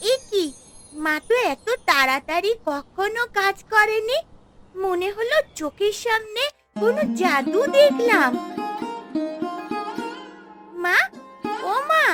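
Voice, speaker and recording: Little village girl, super high and slow, plaintive cartoon kid. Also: expressive, fairly bright tone, exaggerated voice. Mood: curious. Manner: plaintive, childlike. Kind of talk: Bengali fairy-tale cartoon voice acting. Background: music.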